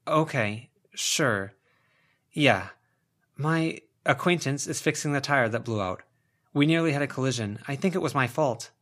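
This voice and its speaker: A man's voice: mid-range voice, lively intonation, unhurried, a bit bright, voice pushed up. A man voicing an anxious, apologetic young woman with a hesitant, placating manner in an audiobook. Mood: flustered, pleading. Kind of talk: audiobook character voice.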